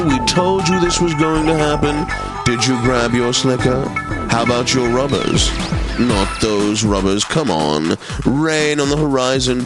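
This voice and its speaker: Wry, self-important classical radio host played by a man, mid-range voice, polished announcer delivery, loud music underneath. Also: medium pitch, lightly acted. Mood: playful. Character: pompous, wry male classical station radio DJ. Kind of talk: radio weather reports and station idents.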